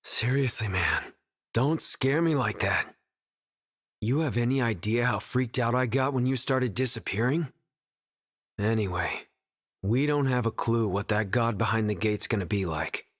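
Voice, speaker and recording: A man's voice: medium pitch, a little slow, close to an everyday voice. Actor as a blunt young male buddy. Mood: exasperated, determined. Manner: blunt, slangy. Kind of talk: video game voice acting.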